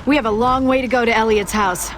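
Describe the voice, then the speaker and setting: An actress playing an earnest young military cadet in a video game, matter-of-fact and informative. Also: female voice, on the high side, fairly even intonation, fast, background noise, natural voice. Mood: focused.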